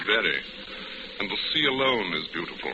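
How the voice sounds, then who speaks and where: An actor as a suave bachelor, very noisy old radio. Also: male voice, lowish voice, lively intonation, muffled recording, natural voice. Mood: suave, musing. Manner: suave, teasing, measured. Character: smooth, flirtatious, philosophizing bachelor ship passenger. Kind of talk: 1940s radio acting, romantic dialogue.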